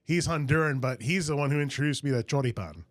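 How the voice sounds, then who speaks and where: A man's voice: mid-range voice, fast. A man hosting a podcast interview, friendly and enthusiastic, with many fillers and restarts. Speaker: podcast host. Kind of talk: casual interview.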